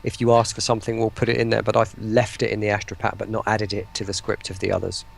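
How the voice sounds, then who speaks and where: A man speaks as a guest in a casual interview. His voice has a gravelly creak, and he talks haltingly, often doubling back on words as he explains things. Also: on the low side, completely flat delivery, slight background noise. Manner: hesitant, repeats words mid-sentence. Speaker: interview guest, voice pack producer.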